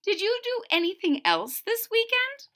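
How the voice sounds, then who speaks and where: Kind, encouraging female schoolteacher for a storybook, played by a woman reader; bright, animated delivery. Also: very high voice, normal speed, voice pushed up, heavily acted. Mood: gently serious. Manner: gentle, encouraging. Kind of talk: children's picture book read-aloud.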